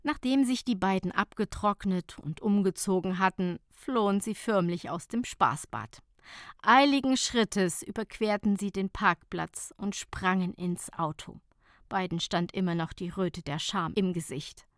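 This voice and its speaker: A woman narrating a scripted humorous short story as an audiobook, in an expressive storytelling manner. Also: medium pitch, a little slow, no room sound, studio quality.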